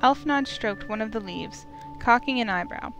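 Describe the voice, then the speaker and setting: A woman's voice: on the high side, expressive, moderate pace, fairly bright tone, lo-fi sound. A woman reading scripted third-person narration for a visual novel in a storytelling style. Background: melodic mallet music.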